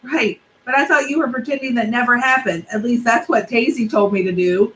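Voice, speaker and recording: A woman's voice: fairly high pitch, fairly quick, a bit bright, a little hiss or hum, pitched above the performer's own voice, lightly acted, poor audio. A woman voicing an earnest, literal-minded young boy who rambles in a storybook reading.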